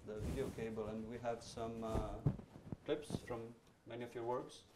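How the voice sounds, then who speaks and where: A man's voice: mid-range voice, flat delivery, moderate pace, heavy background noise. A man moderating an onstage conversation, chatty, with many fillers and false starts.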